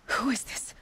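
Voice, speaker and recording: An actress playing a determined, guarded young woman hunter in a video game, asking terse, probing questions. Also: pushed and bright. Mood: wary, hushed. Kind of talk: dramatic video game acting.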